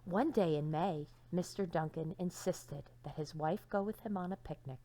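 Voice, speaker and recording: Lower-pitched woman reading expressively to children. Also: normal speed, rough recording. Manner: dramatic, with hushed phrases and questions to listeners. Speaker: teacher. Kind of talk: expressive storybook read-aloud for young children.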